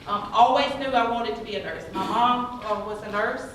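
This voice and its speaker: A woman's voice: fairly high pitch, moderate pace. Southern woman nurse, echoey hall. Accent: Southern American. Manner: frequent ums, earnest and proud. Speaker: nurse speaking on an employer panel. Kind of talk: personal story at a panel. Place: large conference hall. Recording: echoey hall, mic far off, noise.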